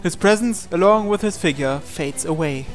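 A man reading a visual novel aloud as a dramatic narration, with deliberate pauses. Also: high voice. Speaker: gaming channel host. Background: game music.

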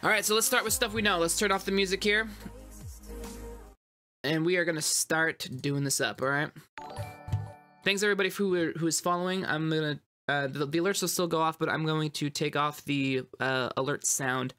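Stuffy-nosed guy in his 30s rambling to his stream chat, bright voice, reverb on it, guitar music behind. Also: a little high, moderate pace. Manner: loose, rambling, talks straight to chat. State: stuffed up with a cold. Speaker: music livestreamer. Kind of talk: casual livestream chat. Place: dim home room.

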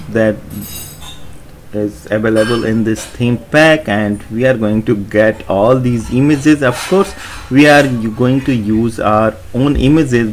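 A man talking through a screen-recorded website tutorial in an unhurried, explanatory way, with many fillers and restarts. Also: mid-range voice, slight background noise. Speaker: tutorial narrator.

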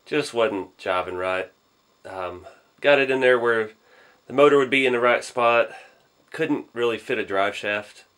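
A man, a little hoarse from a cold, rambling casually through a model build in his garage workshop with lots of ums. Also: mid-range voice, unhurried, fairly bright tone. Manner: laid-back, rambling, lots of ums and I don't knows. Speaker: RC hobby channel host.

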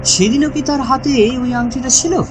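A woman's voice: high-pitched, moderate pace, voice pushed up, close to an everyday voice. Woman reading as a probing police inspector. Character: questioning male police inspector, businesslike and probing.

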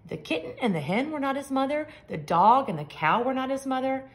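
A woman reading a picture book aloud to young children, with expressive, simple and emphatic phrasing. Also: high-pitched, fairly quick. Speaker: children's story reader. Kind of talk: expressive storybook read-aloud.

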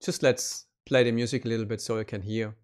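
A man's voice: fairly low pitch, quick pace, clean tone. A man in his thirties with a German accent, a composer chatting casually on a live stream from his home studio into a studio microphone. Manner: relaxed, chatty, plain phrasing with slips. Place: small home studio room. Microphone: studio mic on a stand, headphones on.

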